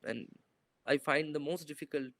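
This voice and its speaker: A man's voice: a little high, moderate pace, fairly bright tone, no room sound. A young man, a filmmaker, answering interview questions over a video call in a thoughtful, hesitant way with many fillers and restarts. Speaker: filmmaker guest. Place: bright indoor room. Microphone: video call, laptop camera.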